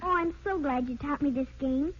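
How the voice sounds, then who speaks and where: A woman's voice: high voice, normal speed, natural voice. A woman playing a sweet, earnest young girl in an old-time radio drama, polite and wide-eyed. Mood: happy, grateful. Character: sweet, earnest young orphan girl, tender big sister. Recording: dull, noisy old radio recording.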